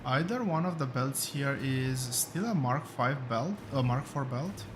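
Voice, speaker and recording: A man with a rough voice, thinking aloud as he hosts a relaxed gameplay commentary, with many fillers and pauses. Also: medium pitch, background noise. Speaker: gaming channel host.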